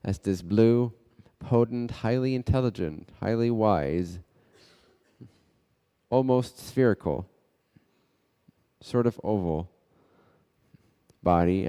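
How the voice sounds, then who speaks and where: A man leading a guided meditation as a teacher, speaking in short, unhurried phrases with pauses between them. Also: lowish voice, lively intonation, dark tone, smooth. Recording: long reverberant echo.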